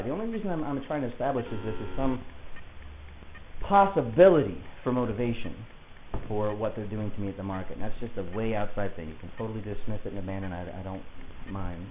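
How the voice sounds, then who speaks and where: A man playing an agitated, rambling client who insists he is being targeted, talking in a halting, digressive, self-justifying way in a naturalistic audio drama. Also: lowish voice, natural voice. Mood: earnest, insistent. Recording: hissy, tinny phone-like lo-fi recording.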